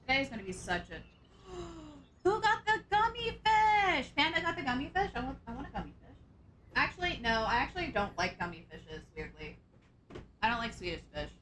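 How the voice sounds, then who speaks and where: A young woman with a sore throat chatting casually and excitably on a live stream, scatterbrained and full of gasps. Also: sits high, fairly quick, a little hiss or hum. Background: background music.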